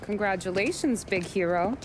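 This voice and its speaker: An actress playing a teasing, sassy barmaid in a gangster game, with a wry delivery. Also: female voice, medium pitch, normal speed, background noise, lightly acted. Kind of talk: cinematic game drama acting.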